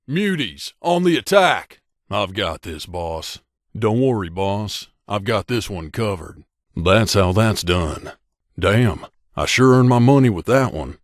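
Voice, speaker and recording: A low-voiced, wry wasteland gunslinger companion. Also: male voice, no echo, clean studio sound. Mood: combative, then confident. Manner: wry, casual, addresses boss familiarly. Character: loyal wasteland gunslinger companion man, wry, devoted to his mother. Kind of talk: video game companion dialogue.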